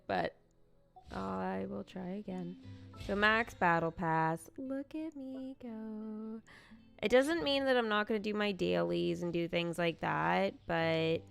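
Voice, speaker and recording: A woman hosting a live game stream in casual, excitable chat, thinking aloud as she goes. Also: on the low side, vocal fry. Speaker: livestream host, gamer.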